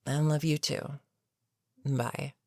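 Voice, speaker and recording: A voice actor playing an older, experienced villain in an audio roleplay, weary and dryly sarcastic but reluctantly protective, with a wry, deliberate delivery. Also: mid-range voice, flat delivery, no room sound, clean studio sound. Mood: exasperated, wry. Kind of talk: intimate audio roleplay monologue.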